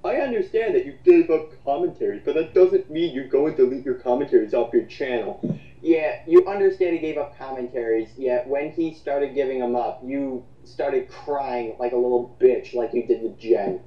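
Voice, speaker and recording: A man's voice: mid-range voice, moderate pace. A man delivers casual, mocking commentary. He is blunt and sarcastic and swears freely. The sound is lo-fi and dull, with plenty of room echo around his voice. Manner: blunt, sarcastic, foul-mouthed.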